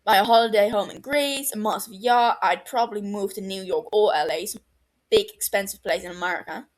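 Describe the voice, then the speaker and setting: A woman's voice: mid-range voice, fairly quick, close to an everyday voice. Woman acting a brash, cheeky young British guy. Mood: excitable, insistent. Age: 20s. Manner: sweary, argumentative, boisterous. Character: cheeky, brash, foul-mouthed young British man, excitable dreamer. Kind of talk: fan fiction audio story dialogue.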